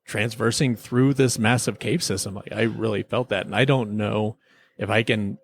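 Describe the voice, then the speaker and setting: A man's voice: lowish voice, steady tone, moderate pace, no room sound, studio quality. A man co-hosting a casual review chat, talking as an enthusiastic fan. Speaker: podcast co-host reviewing TV episodes.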